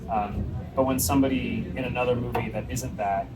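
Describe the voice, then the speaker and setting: Relaxed male guest who explains like a teacher, heard a bit off-mic over a loud sandwich-shop background. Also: medium pitch, a little fast, far from the mic. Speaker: voice actor guest. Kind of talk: casual chat over a meal. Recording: off-mic in a noisy restaurant.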